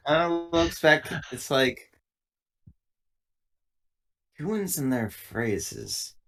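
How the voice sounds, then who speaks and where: A man playing a tabletop roleplaying game with casual, joking narration and character dialogue in a halting, dry manner. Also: on the high side, lively intonation, a bit bright.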